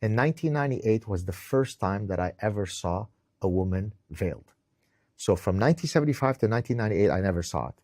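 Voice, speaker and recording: A man's voice: on the low side, expressive, fast talker, darkish tone, no echo, clean studio sound. A middle-aged man from Lebanon, giving emphatic interview answers, often repeating words as he starts and tagging points with "right?". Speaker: interview guest, author. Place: indoors.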